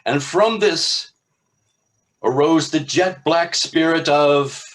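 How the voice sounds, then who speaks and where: A man voicing a fervent, charismatic high priest in a dystopian novel, declaiming in drawn-out, incantatory phrases. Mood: fervent invocation. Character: bellowing charismatic male high priest, cult showman, fervent.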